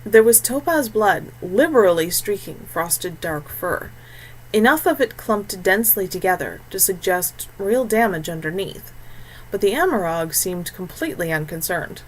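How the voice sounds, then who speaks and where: A woman's voice: mid-range voice, expressive, moderate pace, slightly rough, poor audio. A woman reading scripted fantasy fiction as an audiobook narrator.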